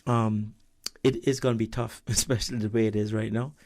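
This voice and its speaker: A man's voice: fairly low pitch, level tone, a little fast, darkish tone. A man speaking as a guest on a radio talk show, hesitant, with many stammers and restarts. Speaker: studio guest.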